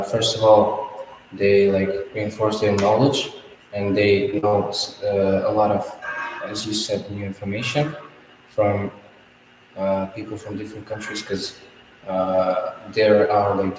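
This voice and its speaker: A man's voice: fairly low pitch, darkish tone, slight background noise. A teenage boy from Kazakhstan, a student discussing in English with a hesitant delivery full of "uh" and "like". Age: teens. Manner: hesitant, frequent "uh" and "like". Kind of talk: spoken English exam discussion. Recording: echoey room from far off.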